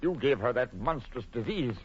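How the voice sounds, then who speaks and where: An actor playing a bitter, accusing man in old radio horror drama, with a husky, theatrical delivery. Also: mid-range voice, expressive, moderate pace. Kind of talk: 1940s radio horror acting. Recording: muffled old radio broadcast.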